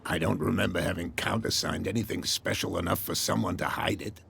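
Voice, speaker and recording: An actor playing a proud, courteous harbor captain in a video game, with a raspy, gravelly voice and stately phrasing. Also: male voice, low-pitched, steady tone, brisk, a bit bright, heavily acted. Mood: assured. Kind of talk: video game cutscene dialogue.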